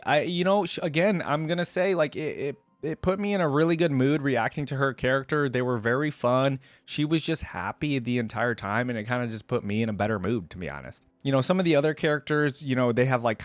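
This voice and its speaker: A man's voice: medium pitch, dry recording. A man hosting a reaction channel, talking casually and informally to viewers in a rambling way, leaning on key words.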